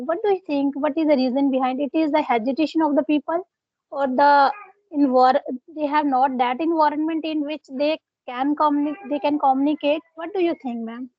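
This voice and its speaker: A woman speaks in a high voice, pausing often with fillers as she politely asks her tutor questions. The sound is close and dry, with the dull, narrow quality of call audio. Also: fairly even intonation, no room sound. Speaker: English learner. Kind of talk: conversational English practice chat. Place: home.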